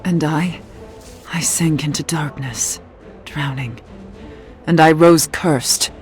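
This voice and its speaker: A mysterious young witch, low and slow. She speaks gravely and with quiet drama, like a cursed soul in a fantasy game cutscene, over music. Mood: solemn, haunted. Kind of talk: video game cutscene acting.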